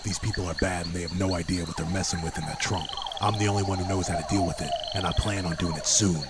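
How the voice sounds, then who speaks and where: Guy doing a grim vampire hunter, real low, talks fast, terse n threatening, comic dub. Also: fairly even intonation, heavy background noise, lightly acted. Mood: grim, stern. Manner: terse, menacing. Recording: loud music under voice.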